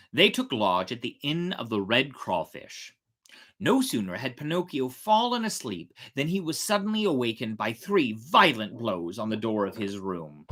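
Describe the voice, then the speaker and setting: A woman narrating a storybook as host, in a theatrical, expressive reading style. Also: deep voice, breathy edge. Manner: theatrical, dramatic.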